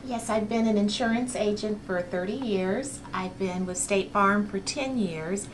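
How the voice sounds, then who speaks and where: A woman's voice: mid-range voice, unhurried, poor audio. A woman in her fifties, an insurance agent, answering matter-of-factly into a handheld mic.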